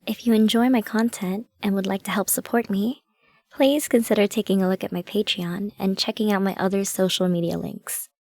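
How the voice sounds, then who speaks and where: A woman's voice: mid-range voice, fairly even intonation, moderate pace, darkish tone. A woman who makes ASMR audio, giving a scripted greeting to the listeners of the channel.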